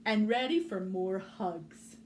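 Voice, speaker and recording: A woman's voice: medium pitch, animated, slow pace, darkish tone, slight background noise. A woman voicing a big, easygoing, affectionate bear in a children's storybook, drawing out the words. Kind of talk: children's storybook read-aloud.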